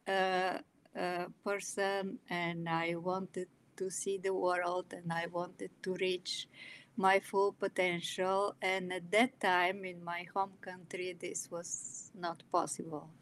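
A woman in her sixties from Bulgaria, speaking English with a Bulgarian accent, telling her life story as a guest with many pauses and fillers. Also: mid-range voice, a bit bright, rough recording. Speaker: guest, illustrator and author. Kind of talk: personal storytelling in an interview.

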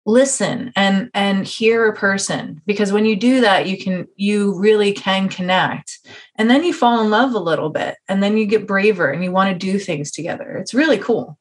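A woman's voice: mid-range voice, moderate pace. A woman hosting a conversational podcast interview, talking at length in an earnest, encouraging way. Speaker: podcast host.

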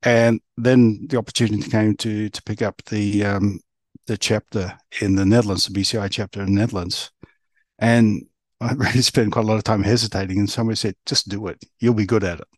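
A man with a mixed New Zealand accent giving conversational interview answers as a podcast guest, in halting phrases. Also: fairly low pitch, fairly even intonation, no echo. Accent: New Zealand, mixed by years abroad. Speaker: podcast guest, resilience professional.

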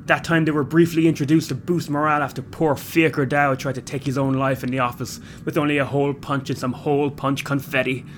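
A man voicing a frightened young Dublin man who rants high and loud, with a Dublin accent. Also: flat delivery, fairly quick, hardly any pauses, a little hiss or hum, natural voice. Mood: indignant. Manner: shrill, indignant ranting.

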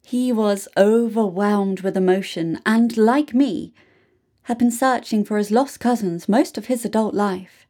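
Female narrator, medium pitch, slow scripted storytelling with deliberate dramatic pauses. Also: dark tone. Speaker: reader of a written true story. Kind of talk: scripted first-person narration.

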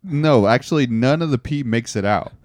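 A man co-hosting a podcast, spinning absurd bits with a deadpan, playful delivery in casual banter. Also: medium pitch, normal speed, no room sound. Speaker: podcast co-host.

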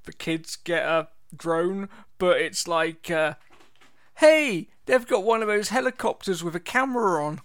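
Brief: joking podcast co-host, male, high and smooth. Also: animated, measured pace, fairly bright tone. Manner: jokey, wisecracking. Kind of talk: casual chat.

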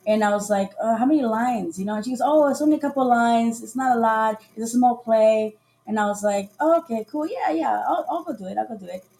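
A woman's voice: a little high, brisk, gravelly, few pauses, warm tone. A woman from Costa Rica, a podcast guest, chatting casually on a livestream and acting out the dialogue in her stories. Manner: chatty storyteller who acts out quoted dialogue.

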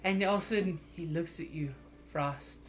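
A woman's voice: on the low side, normal speed, a little hiss or hum. A woman narrating a tabletop roleplaying game as game master in a playful, teasing way. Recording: music behind the voice.

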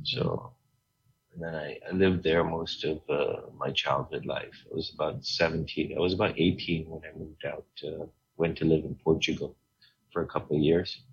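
A middle-aged man from Hong Kong, a guest telling his story in a relaxed, rambling way with many fillers. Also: extremely low pitch, moderate pace, creaky, lo-fi sound, slight background noise. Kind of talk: casual interview talk.

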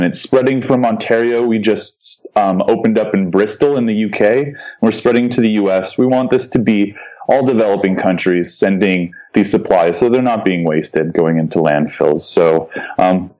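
Man, charity volunteer, earnest explaining, mellow tone, muffled lo-fi audio. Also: lowish voice. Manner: earnest, with fillers and restarts. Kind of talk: explaining in an interview.

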